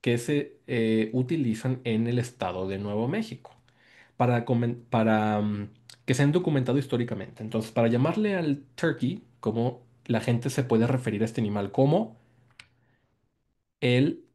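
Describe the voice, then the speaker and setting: A man's voice: mid-range voice, expressive, moderate pace, dark tone. A man from Mexico with a Mexican accent, giving an academic presentation over a video call. He explains patiently, repeats key words and checks in with his listeners. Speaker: guest lecturer presenting research. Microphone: video call microphone.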